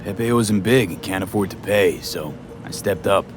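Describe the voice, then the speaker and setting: Man acting low-voiced, terse American mercenary. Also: moderate pace, music in the background, lightly acted. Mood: guarded. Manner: clipped, streetwise, matter-of-fact. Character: terse, guarded young male mercenary in a cyberpunk city. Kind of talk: video game voice acting.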